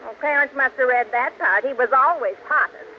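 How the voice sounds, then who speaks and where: An actress playing a down-to-earth housemaid with dry, wisecracking delivery in a 1940s radio sitcom style. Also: female voice, high voice, moderate pace, lightly acted. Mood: dry, wry. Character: wry, down-to-earth housemaid, unimpressed by airs. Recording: muffled, hissy old radio broadcast.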